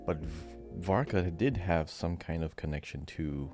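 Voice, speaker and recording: Very deep-voiced man thinking out loud. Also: unhurried, over music. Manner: hesitant, reasons his way through answers. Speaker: podcast co-host and quiz contestant. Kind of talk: casual chat, thinking aloud.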